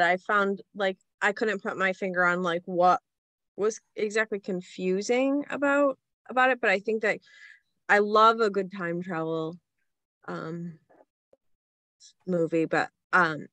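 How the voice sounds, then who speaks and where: A woman giving casual feedback on a panel, halting and tentative, often breaking her phrases with "like". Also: mid-range voice, a bit bright, no room sound. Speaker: script reading panellist.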